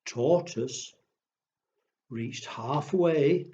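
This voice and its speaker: A man telling stories to pre-school children in a simple, deliberate way, often putting questions to his young listeners. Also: on the high side, mellow, lo-fi sound. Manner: slow, deliberate, addressing small children with questions. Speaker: children's storyteller.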